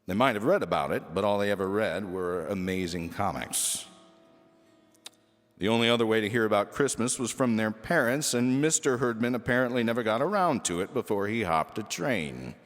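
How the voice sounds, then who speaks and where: A man reading a children's story aloud as lively scripted narration. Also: on the low side, moderate pace. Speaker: storybook reader. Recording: echoey hall reverb with music.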